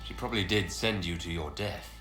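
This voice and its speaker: A man's voice: sits low, a little fast, a bit bright. An actor playing a resolute inquisitor in a video game, direct and authoritative as he questions and gives orders. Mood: probing. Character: commanding male inquisitor, resolute hero and investigator. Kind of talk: video game cutscene dialogue. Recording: roomy echo, faint music behind.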